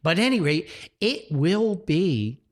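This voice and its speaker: A man's voice: on the high side, very animated, measured pace. A man hosting a solo podcast in a folksy, rambling, jovial way, full of exclamations. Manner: jovial, chuckles often. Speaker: podcast host. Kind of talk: chatty solo podcast with sponsor reads.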